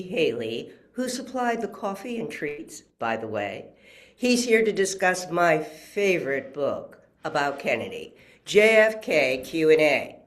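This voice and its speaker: A man acts out a welcoming bookstore owner, a woman of seventy with dry humor, in a screenplay table read. The delivery is high for a male voice and swoops expressively. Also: fairly bright tone.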